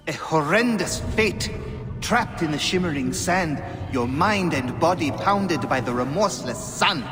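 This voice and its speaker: A man playing a grave informant who tells dark cautionary tales in a deliberate, ominous manner in a game cutscene. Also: fairly high pitch, expressive, over music. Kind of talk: video game cutscene storytelling.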